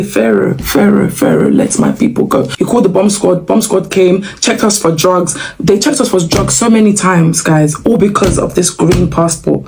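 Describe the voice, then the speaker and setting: A woman from Nigeria telling viewers a travel story in a lively, sarcastic way, laughing as she goes. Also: mid-range voice, vocal fry, slight background noise. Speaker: travel vlogger.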